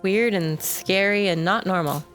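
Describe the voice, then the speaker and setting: A woman voicing a shaken, tired girl in a tabletop roleplay, speaking quietly and a little defiantly. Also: mid-range voice, unhurried, faint music behind, voice pushed up, close to an everyday voice. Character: Bryn, a shaken weary young girl.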